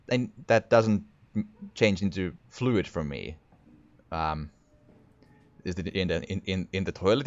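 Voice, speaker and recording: A man with a Finnish accent, talking through a horror game while he plays. His voice is very low, and he often trips and restarts his words as he thinks aloud. The recording is dull and dry, without room echo. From Finland. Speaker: gaming video creator. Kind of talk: horror game let's play commentary.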